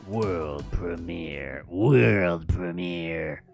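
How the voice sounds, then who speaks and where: A man hosting a livestream reaction, raspy-voiced, giving casual, slangy commentary. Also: low-pitched, very slow, darkish tone, soft background music. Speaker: livestream host.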